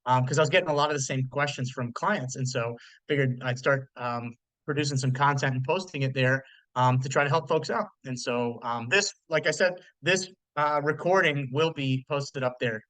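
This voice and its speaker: A man's voice: mid-range voice, fairly bright tone, no echo, low-quality recording. A man in his thirties, a mortgage loan officer, hosting a live webinar in a loose, chatty way with lots of fillers and restarts. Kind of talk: casual live webinar presentation.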